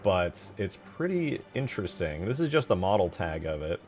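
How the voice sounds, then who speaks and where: A man's voice: deep voice, sing-song, normal speed, darkish tone, faint music behind. A man giving casual screen-share commentary as a game modder, thinking aloud as he works. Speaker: game modder showing work in progress.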